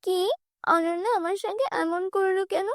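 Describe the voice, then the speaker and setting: A woman voicing a kind, gentle young heroine in a cartoon, speaking softly and earnestly. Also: very high voice, steady tone, normal speed, no room sound, studio quality. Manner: soft, earnest. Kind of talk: cartoon dubbing.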